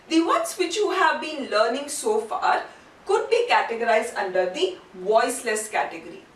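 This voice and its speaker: Female language teacher, high-pitched and unhurried, deliberate step-by-step delivery, slightly distant mic. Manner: deliberate, repeats syllables and terms for learners. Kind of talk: instructional lesson, step-by-step explanation. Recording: roomy, distant mic, faint background noise.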